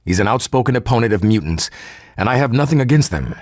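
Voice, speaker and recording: An actor playing a formal, articulate male geneticist recording an audio log for a game, measured and husky. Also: medium pitch, lively intonation, darkish tone, dry recording, clean studio sound, lightly acted. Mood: earnest, hopeful. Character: earnest male geneticist turning cold and militant.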